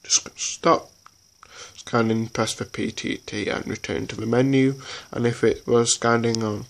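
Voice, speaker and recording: A man giving an informal hands-on tutorial in a halting, repetitive way, often checking in as he goes. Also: medium pitch, fairly even intonation, creaky. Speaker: presenter of a tutorial. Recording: noisy homemade recording.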